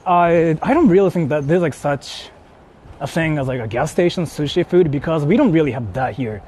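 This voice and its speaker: A man's voice: a little high, expressive, normal speed, a little mellow, husky. A man from Japan with a Japanese accent, streaming casually and rambling informally and bluntly as he answers viewers. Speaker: live streamer. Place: outdoors on a city street. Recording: noisy outdoor street, traffic ambience. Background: urban ambience and vehicles.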